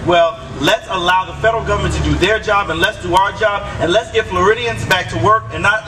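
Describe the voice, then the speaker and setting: A man speaking as a state senator at a protest rally, rousing the crowd and calling out to it. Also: high voice. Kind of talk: rally speech. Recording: distant mic with loud outdoor noise.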